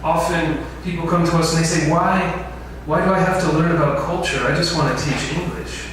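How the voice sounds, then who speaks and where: A man's voice: on the high side, steady tone, a little fast, fairly bright tone. A man teaching a lecture to a class, often putting questions to the room. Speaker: instructor. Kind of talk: info session lecture. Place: large echoey lecture room. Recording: very echoey room, distant mic, faint noise.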